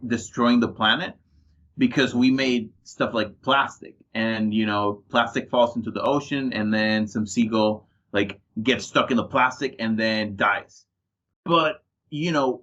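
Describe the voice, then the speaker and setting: A man co-hosting a casual podcast, talking in an animated way, swearing freely and acting out his examples. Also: medium pitch. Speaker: podcast co-host. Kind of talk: casual chat. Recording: dull lo-fi sound.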